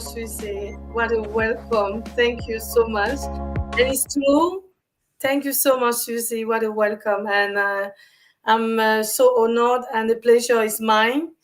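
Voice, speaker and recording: A woman from Cameroon, a guest on a video call, talking about her work in an earnest, warm way with some hesitant fillers. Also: a little high. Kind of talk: interview answers.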